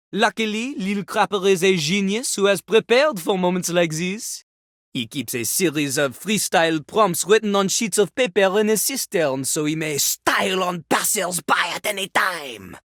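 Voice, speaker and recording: A woman's voice: medium pitch, lively intonation, normal speed, no room sound, studio quality, close to an everyday voice. A woman voicing a vain, scornful French-accented toilet who fancies himself a rap master, in a theatrical video game performance with a pompous, dismissive manner. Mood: pompous, demanding. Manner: pompous, speaks of himself in third person, dismissive.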